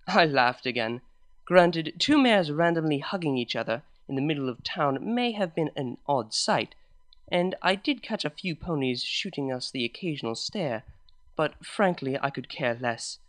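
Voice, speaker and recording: Expressive male fanfiction reader. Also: a little high, normal speed. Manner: expressive, animated reading. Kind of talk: story reading.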